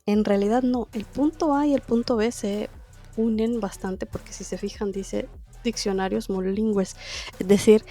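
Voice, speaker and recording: Woman teacher going through a language lesson, warm mellow voice, keeps asking "why?" and answering it, bit of quiet music under her. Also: medium pitch. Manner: conversational, with rhetorical questions. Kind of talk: explaining a lesson to camera.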